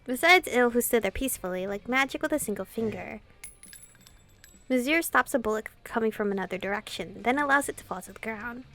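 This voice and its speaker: A woman's voice: a little high, animated, brisk, fairly bright tone, soft background music. A woman reading a visual novel aloud and reacting casually, giggly and slangy with some casual swearing. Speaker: gaming video creator.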